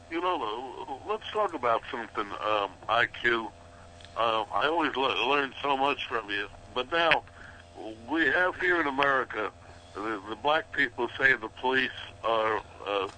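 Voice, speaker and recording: Male talk-radio host, hesitant questioning, bright forceful tone, mid pitch. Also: a little hiss or hum, low-quality recording. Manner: halting, filler-laden questions.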